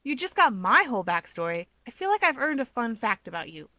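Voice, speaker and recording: A woman's voice: fairly high pitch, big pitch swings, brisk, pushed and bright, no room sound, voice pushed up, heavily acted. A woman voicing a playful, stubborn, easily riled young winged hero, insistent and teasing, in an audiobook. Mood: pleading, eager. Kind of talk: fan fiction audiobook dialogue.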